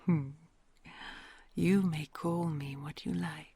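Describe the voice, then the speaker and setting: Give me a woman playing an ancient, lonely dragon queen, whispery and gravelly, slow and courtly. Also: low voice, fairly even intonation, no echo, full character voice. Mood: tender whisper. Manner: formal, courtly old-fashioned phrasing, soft and slow. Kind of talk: audio roleplay acting.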